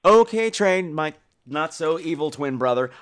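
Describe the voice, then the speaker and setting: A man's voice: on the high side, animated, a little slow, clean tone. A man hosting a podcast with casual commentary between listener calls, playfully chiding and given to dramatic emphasis. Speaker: podcast host.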